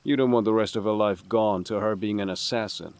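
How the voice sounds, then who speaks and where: A man playing a weary, conscientious assassin in an audio drama, speaking gravely and tersely. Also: on the low side, fairly even intonation, a little fast, darkish tone, dry recording, close to an everyday voice. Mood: earnest. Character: brooding, conscientious male assassin, weary and sober.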